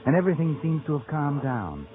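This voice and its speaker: An actor playing a sardonic, taunting narrator of an old radio mystery, insinuating and needling. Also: male voice, a little high, sing-song, fairly quick. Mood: knowing. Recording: tinny vintage radio, loud music behind.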